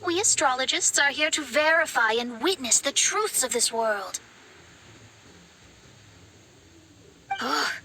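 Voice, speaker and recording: High-voiced, earnest young woman astrologer. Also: expressive, moderate pace, pushed and bright, slight background noise. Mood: firm, indignant. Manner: formal, articulate, self-serious. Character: proud, earnest young female astrologer, prickly but soft-hearted. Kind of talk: anime game voice acting. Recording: background music behind the voice.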